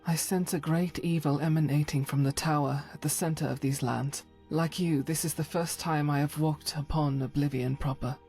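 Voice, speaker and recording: A woman voicing a devout, weary female warrior of a holy order in a fantasy game, earnest and solemn, with a husky, breathy voice and halting formal phrasing. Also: low voice, steady tone, a little mellow, soft background music. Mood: solemn, determined. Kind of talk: voice acting for fantasy game companion.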